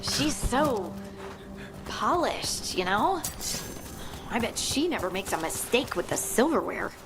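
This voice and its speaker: A woman's voice: fairly high pitch, sing-song, slow pace, very noisy, full character voice. A woman playing an earnest, awkward, cheerful young scout with magic in a video game, bashful and a little hesitant. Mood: nervous, candid. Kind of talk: naturalistic video game voice acting. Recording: game music behind the voice. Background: game music.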